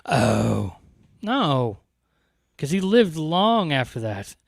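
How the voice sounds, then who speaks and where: A man's voice: a little high, sing-song, drawn-out words, vocal fry, no echo, studio quality. A man co-hosting a podcast live stream in casual chat, joking around and reading out viewer comments. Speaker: podcast co-host.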